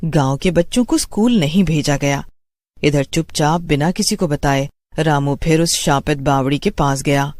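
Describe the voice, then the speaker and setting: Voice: mid-range voice, moderate pace, darkish tone, dry recording. A narrator reading a scripted horror story.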